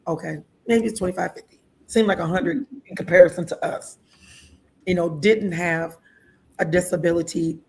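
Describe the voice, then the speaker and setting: A woman's voice: on the low side, poor audio. A woman in her sixties hosting an interview show, chatty and laughing often. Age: 60s. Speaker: host. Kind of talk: casual interview talk.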